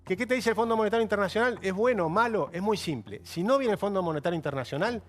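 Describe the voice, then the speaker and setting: A man's voice: high-pitched, expressive, fast. A man with an Argentine accent, an insistent panelist arguing economics on a television debate. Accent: Argentine Spanish. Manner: insistent, argumentative.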